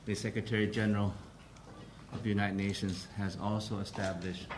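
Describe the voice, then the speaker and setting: Man, low voice, formal press briefing, halting delivery with fillers; slight room noise. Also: level tone. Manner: hesitant, formal, many pauses and restarts. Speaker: council president briefing the press.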